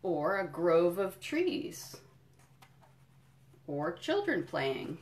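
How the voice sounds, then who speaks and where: A woman doing a children's storytime, reading a picture book in deliberate phrases and explaining things simply to young listeners. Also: fairly low pitch, very animated. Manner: addresses young listeners directly with questions and encouragement. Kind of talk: children's storytime read-aloud with friendly asides.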